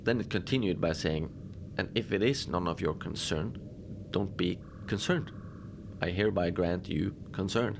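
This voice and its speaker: Over a noisy ambient bed comes an actor as a quirky male manager of a remote polar research station. His voice sits very low with a gravelly fry. He rambles conversationally and deadpan, full of hesitant asides, as if logging his shift for an audio drama. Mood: puzzled, deadpan. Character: chatty, wry male Antarctic research facility manager, quirky and folksy. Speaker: host character of an audio drama, facility manager. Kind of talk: conversational monologue, log-style audio drama.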